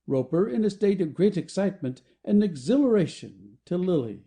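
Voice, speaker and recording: A man's voice: high-pitched, sing-song, normal speed, warm tone. A man narrating an audio play, reading out scripted stage directions.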